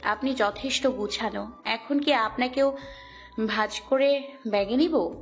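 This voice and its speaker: A woman's voice: on the high side, a little slow, soft background music. A woman voicing a shy, playful young wife in an audio story. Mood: coy, playful. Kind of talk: audio story drama acting.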